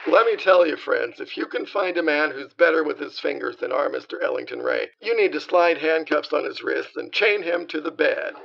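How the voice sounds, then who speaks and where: A man's voice: a little high, expressive, normal speed, gravelly, few pauses, a bit bright, lo-fi sound, a faint crowd, heavily acted. An actor playing a brassy male 1930s radio show host, full of showbiz patter and innuendo. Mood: smarmy.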